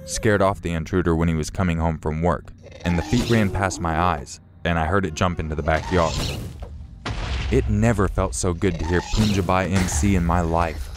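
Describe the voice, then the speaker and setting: A man narrating a true-style horror story in a slow, measured, somber way. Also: sits low, steady tone, noisy background. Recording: faint music behind.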